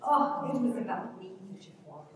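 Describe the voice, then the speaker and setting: A woman's voice: medium pitch, big pitch swings, natural voice. A woman playing a proud, restless young countrywoman with impassioned, pleading delivery in a dramatic stage reading. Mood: pleading, tender. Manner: impassioned, pleading, quick to scorn. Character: proud, restless young Irish countrywoman longing for great cities. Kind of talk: dramatic stage reading of a rural Irish play. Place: large hall at a live reading. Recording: echoey hall, distant mic, faint noise.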